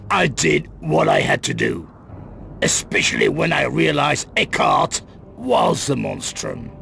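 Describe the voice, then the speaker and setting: An actor playing a grim, guarded middle-aged crime boss in a video game cut-scene, with a gravelly voice and grave, deliberate delivery. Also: male voice, medium pitch, wildly swooping pitch, full character voice. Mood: bitter, defensive. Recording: loud music under the voice.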